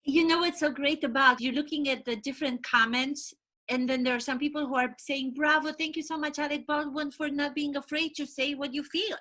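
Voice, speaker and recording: A woman hosts an interview in a chatty, gossipy way and tells stories with lively energy, slipping into other people's voices as she goes. Her voice is high and bright. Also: brisk. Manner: animated storyteller, acts out quotes. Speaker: interview host.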